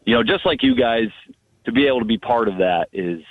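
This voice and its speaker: A man's voice comes through a narrow, dull phone line with no room sound. He is a phone-in guest sharing memories casually, rambling along with frequent "you know" asides at a medium pitch. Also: expressive, fairly quick, dry recording. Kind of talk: casual reminiscing. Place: calling in to a radio show. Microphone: telephone. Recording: muffled phone line on radio.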